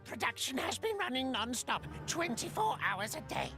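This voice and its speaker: An actress playing a small fantasy creature underling with broken grammar in a video game cutscene. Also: female voice, high-pitched, sing-song, normal speed, music in the background. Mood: deferential.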